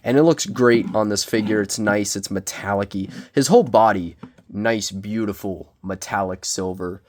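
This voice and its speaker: A young man with a cold, sniffling as he reviews a collectible figure in casual, self-deprecating, excitable rambling. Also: lowish voice, moderate pace. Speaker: toy reviewer. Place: small bedroom.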